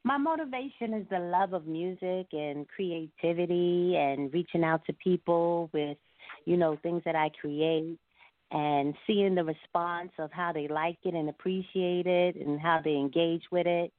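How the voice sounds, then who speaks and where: A woman's voice: on the low side, unhurried, no room sound. A woman being interviewed as a singer, answering conversationally and reflectively at some length over a phone call. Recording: narrow-band, phone-like line.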